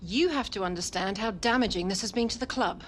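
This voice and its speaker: A woman acting an unyielding football club executive in a video game cutscene, formal and measured. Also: mid-range voice, a little hiss or hum, close to an everyday voice. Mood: firm, formal.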